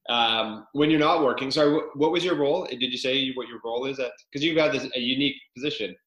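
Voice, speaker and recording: A man hosts a podcast in an upbeat, eager way. His voice is bright and forceful, and the echoey room rings around it. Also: medium pitch, fast talker, lo-fi sound. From Canada. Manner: upbeat, enthusiastic, loose with fillers. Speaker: podcast host. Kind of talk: casual podcast interview.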